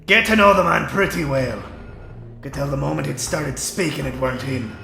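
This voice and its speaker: A man playing a gruff, crude, practical adventuring companion in a fantasy audio drama, gravelly and bluntly colloquial. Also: on the high side, normal speed, bright, forceful tone, exaggerated voice. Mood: gruff, matter-of-fact. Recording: roomy reverb, music underneath.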